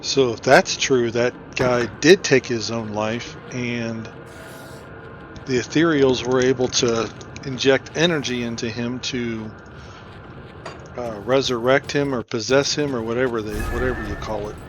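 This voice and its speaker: A man's voice: medium pitch, steady tone, unhurried, slight background noise, poor audio. A man giving casual game commentary, thinking aloud and muttering to himself as he plays. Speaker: gamer doing a playthrough. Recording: game music behind. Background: loud game music.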